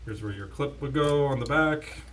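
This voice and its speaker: A man's voice: mid-range voice, moderate pace, a bit bright. A man hosting a casual, unscripted product demonstration, talking like an in-house expert. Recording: distant mic, faint background noise.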